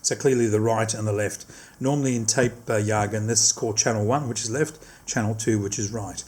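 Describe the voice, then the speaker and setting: Husky-voiced man giving a casual tutorial. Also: fairly low pitch, a little fast, a little mellow. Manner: explanatory, repeats and rephrases instructions. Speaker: product demonstrator.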